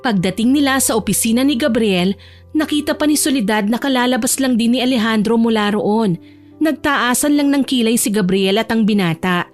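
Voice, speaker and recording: Female narrator, audio drama. High-pitched scripted storytelling, light music bed behind.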